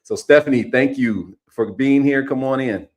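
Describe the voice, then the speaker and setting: A man from California co-hosting an online talk, enthusiastic and energetic, often repeating his words. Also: medium pitch, moderate pace. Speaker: co-host, interviewer. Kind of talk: live online interview.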